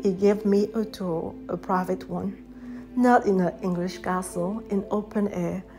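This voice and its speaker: A woman with a French accent, a native French speaker, reading her own poetry in a gentle, reflective way. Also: medium pitch, fairly even intonation, a little slow, faint music behind. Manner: gentle, reflective, soft-spoken.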